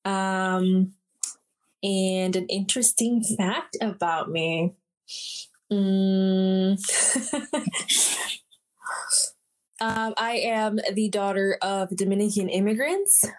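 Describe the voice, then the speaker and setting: A young woman from Bonaire, a podcast guest, speaking thoughtfully with pauses. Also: mid-range voice. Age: young adult. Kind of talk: casual podcast conversation.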